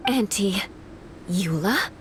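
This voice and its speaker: A proud young female knight from a video game. She speaks formally and haughtily at a medium pitch with lively intonation, over a faint rumble. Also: takes it slowly. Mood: composed, taken aback. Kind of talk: video game character acting.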